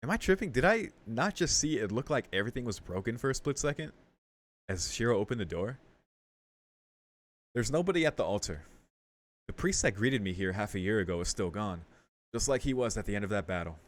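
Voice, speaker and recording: A man streaming a game, casually commenting and reading text aloud, thinking out loud as he goes. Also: lowish voice, sing-song, brisk, long pauses. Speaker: let's play streamer. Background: game music.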